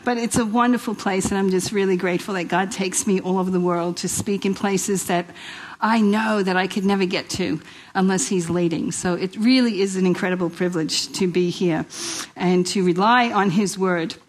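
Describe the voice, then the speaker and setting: A woman's voice: mid-range voice, steady tone, normal speed, low-quality recording. New York-accented woman preaching informally. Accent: New York with Italian and Australian traces. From New York, United States. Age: middle-aged. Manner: chatty, exhortative, with repeated phrases and rhetorical questions. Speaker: guest speaker at a church women's event. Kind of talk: informal inspirational sermon to a live audience.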